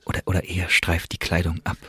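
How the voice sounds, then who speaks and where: A wary secret agent confides his story in a radio play. The voice sits low and comes out husky and breathy. He phrases deliberately and dramatically, brooding as he tells it. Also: monotone, pushed and bright, dry recording, full character voice. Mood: seductive, intimate. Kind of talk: German radio drama monologue, intimate storytelling.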